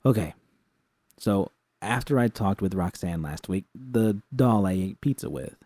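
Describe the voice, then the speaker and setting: A man voicing an excitable, earnest young woman technician in an audiobook story, with urgent, halting, slangy speech. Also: lowish voice, dry recording.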